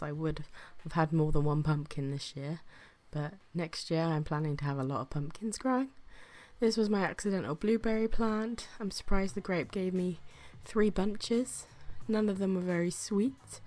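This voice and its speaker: Low-voiced woman with a flu. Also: fairly even intonation, moderate pace, a little mellow. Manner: chatty, self-deprecating, with occasional whispered asides. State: sick with flu, breathy. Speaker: gardening vlogger. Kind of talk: casual vlog narration.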